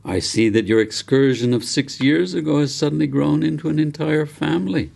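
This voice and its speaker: A man reading as a twinkly old council elder from a storybook, pitched up from his usual low, creaky voice. He banters playfully and likes to tease. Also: a little fast, a little mellow. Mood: teasing.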